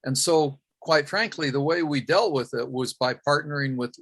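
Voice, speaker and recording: Man, workshop presenter, gravelly voice, halting with lots of "uh"s. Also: a little high, fairly bright tone. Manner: frequent "uh" fillers and self-corrections. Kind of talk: recounting a field project and answering questions.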